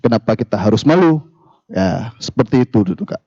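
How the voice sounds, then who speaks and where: A man with a mellow voice makes his case earnestly in a radio discussion, leaning on rhetorical questions. The recording is dry and dull, with a lo-fi radio tone. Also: medium pitch, expressive, brisk, dry recording. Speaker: talk show guest.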